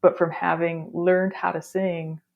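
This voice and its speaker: A woman's voice: fairly low pitch, flat delivery, a little slow, gravelly, highs cut off. A woman in her thirties with a Rochester accent tinged with Texan, talking casually as a musician guest in an interview and restarting her phrases as she thinks. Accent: Rochester with a Texan lilt. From Rochester area. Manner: thoughtful, restarts phrases, laughs easily.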